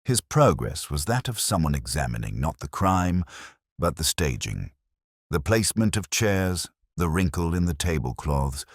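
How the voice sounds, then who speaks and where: A man's voice: rumbling low, slightly rough, no echo, clean studio sound. A man reading scripted narration of a comic village mystery in a wry, literary storytelling manner.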